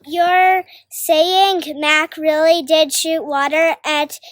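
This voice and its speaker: Child reader, high voice, slow and halting delivery of storybook dialogue. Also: level tone, a bit bright, no room sound, clean studio sound. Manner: sounds out words haltingly, needs prompting. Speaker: young volunteer reader of character dialogue.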